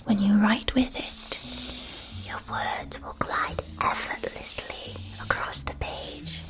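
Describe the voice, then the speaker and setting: A woman playing a doting, persuasive magical shopkeeper in an ASMR roleplay, speaking in a soft, breathy, gushing whisper. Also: medium pitch, steady tone, close to an everyday voice. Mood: doting, enchanted. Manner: slow, gushing, doting sales patter. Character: female magical stationery shopkeeper, doting, persuasive, theatrically enthusiastic.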